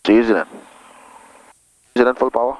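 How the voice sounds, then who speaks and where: A man's voice: mid-range voice, steady tone, brisk, lo-fi sound. A man giving informal, reassuring flight instruction as a pilot, talking casually through checks and controls over an aviation headset. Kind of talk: casual cockpit instruction and radio calls. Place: small plane cockpit in flight operations. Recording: noisy aircraft cockpit intercom.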